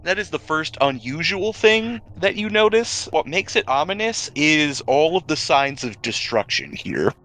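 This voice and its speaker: A man narrating a tabletop roleplaying game as game master, describing scenes conversationally with dramatic pauses. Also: a little high, sing-song, fairly bright tone.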